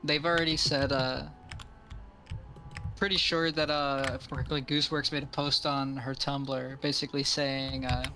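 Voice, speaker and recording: A man's voice: mid-range voice, level tone, a little slow, sharp tone, slight background noise. A man chatting casually with friends on a stream, with some music and keyboard typing behind him. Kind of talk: casual chat.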